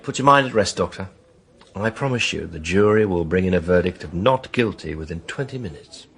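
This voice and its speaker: A cocksure British defence barrister in a radio drama. He is slightly husky, with theatrical swings of pitch and a sly, insistent manner. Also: lively intonation, brisk, full character voice. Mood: smug.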